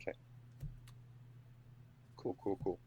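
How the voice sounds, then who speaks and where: A man's voice: slow pace, mellow, dull audio. A man chatting casually as a player in an improvised tabletop role-playing game.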